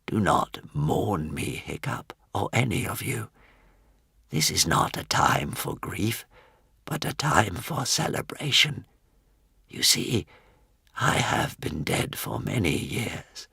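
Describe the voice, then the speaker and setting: A man voicing a huge old dying dragon in a storybook, solemn and remorseful, speaking slowly in a weak, trembling whisper. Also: sits low, sing-song, vocal fry, bright, forceful tone, slightly rough, dry recording, clean studio sound, exaggerated voice. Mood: weary, remorseful. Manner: slow, grave, halting delivery.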